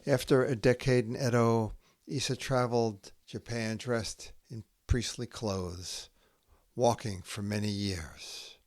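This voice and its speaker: A man hosting a poetry podcast, reading scripted lines in a measured, deliberate way with long pauses between short phrases. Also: mid-range voice, steady tone, a little mellow, no room sound, clean studio sound.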